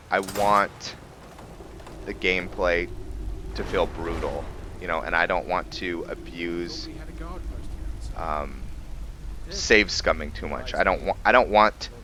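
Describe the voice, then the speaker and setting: A man giving casual commentary on his game playthrough, thinking aloud in a halting way. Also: low voice, pushed and bright, slight background noise. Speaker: gaming video host.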